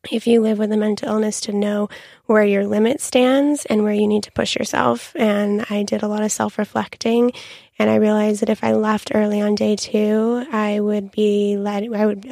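Raspy, creaky young woman podcast host. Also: mid-range voice, darkish tone, dry recording, studio quality. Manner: chatty, with frequent ums. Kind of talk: casual podcast monologue.

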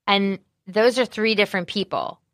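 A woman who is an executive chef, talking casually in an interview with a naturally loud voice and an animated, candid manner full of fillers. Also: medium pitch, normal speed, no room sound, studio quality.

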